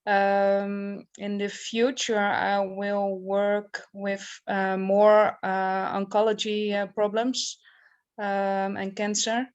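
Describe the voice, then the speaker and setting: A woman's voice: medium pitch, level tone, slow pace, clear, resonant tone, lo-fi sound. A woman, an occupational therapist introducing herself on a video call with many hesitant ums.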